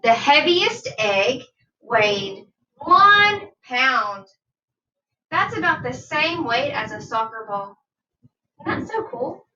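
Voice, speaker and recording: A woman reading a nonfiction children's book aloud like a teacher, deliberate and instructive, with questions to her young listeners. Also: high-pitched, very animated, pushed and bright. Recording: dull, roomy sound, distant from mic.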